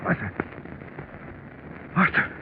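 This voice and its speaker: A man's voice: takes it slowly, narrow, tinny audio, close to an everyday voice. Actor as anxious lovestruck businessman, crackly old radio. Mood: forced cheer. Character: Bert, shaken businessman in love with his partner's wife. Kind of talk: 1940s radio mystery acting. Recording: scratchy band-limited 1940s radio recording.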